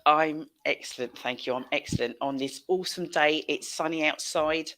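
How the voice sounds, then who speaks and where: A middle-aged woman presents a casual online show with plenty of fry in her voice. She is effusive, often repeating words for emphasis. She speaks into a headset on a video call. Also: sits low, moderate pace, a bit bright. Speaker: show host. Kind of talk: casual livestream hosting. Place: small indoor room on video call.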